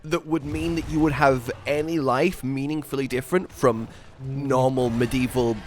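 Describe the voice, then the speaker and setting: A man in casual, playful gameplay banter as a co-host, excitable and given to swearing. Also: medium pitch, moderate pace. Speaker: co-host of a gaming video.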